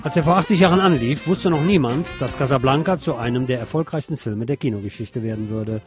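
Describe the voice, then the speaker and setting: A man's voice: mid-range voice, quick pace, faint music behind. A man narrating a scripted documentary.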